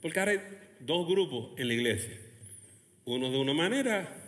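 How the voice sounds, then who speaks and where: Animated male pastor, high-pitched and expressive, preaching in a big echoing hall. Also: a little fast. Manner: animated preaching with laughs and acted quotes of churchgoers. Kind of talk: lively sermon. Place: church hall, Texas. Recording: long hall reverb.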